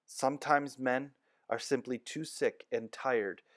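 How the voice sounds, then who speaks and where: A man's voice: medium pitch, steady tone, no room sound, studio quality. A man doing a firm, kind woman nurse. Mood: gentle. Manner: firm, measured and gentle.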